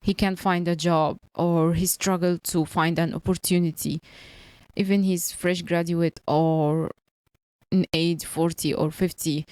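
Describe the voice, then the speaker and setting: A woman's voice: lowish voice, fairly even intonation, measured pace, darkish tone, smooth, no room sound. A woman in her early thirties, a non-native English speaker, giving an earnest motivational talk and repeating her points. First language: not English. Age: early 30s. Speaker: motivational vlogger.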